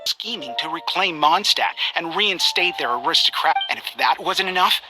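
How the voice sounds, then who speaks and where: A woman's voice: lowish voice, expressive, moderate pace, lightly acted. An actress playing an indignant, confrontational young townswoman in a video game, speaking in an accusing way. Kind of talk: scripted video game voice acting. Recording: game music underneath. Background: game music.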